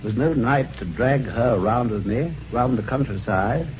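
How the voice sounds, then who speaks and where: A man acting a polite, somewhat dim British husband in a vintage radio mystery. His delivery is very animated, with chatty hesitations and old-fashioned manners. The recording is dull, with a faint layer of noise. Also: mid-range voice, moderate pace, close to an everyday voice. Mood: puzzled, reflective. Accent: British English. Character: well-mannered, slightly dim English husband recounting a mystery.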